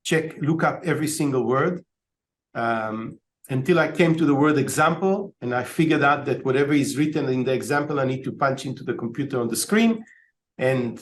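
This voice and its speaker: A man in his fifties from Israel, talking as a guest over a video call, telling stories with many ums and restarts. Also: medium pitch. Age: 50s. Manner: storytelling, frequent ums and restarts. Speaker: guest, IT company owner. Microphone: laptop webcam on video call.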